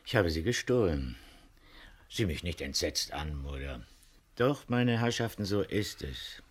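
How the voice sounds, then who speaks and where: An actor playing a stolid older farmer in a radio play, speaking plainly and haltingly with a weight of guilt. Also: male voice, low-pitched, animated, slightly rough, heavily acted. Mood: grave confession. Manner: deliberate, halting, plain-spoken. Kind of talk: 1970s German radio play acting.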